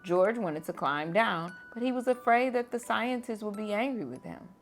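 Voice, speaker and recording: A teacher reading a children's picture book aloud at bedtime, gentle and expressive. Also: female voice, medium pitch, moderate pace, faint music behind.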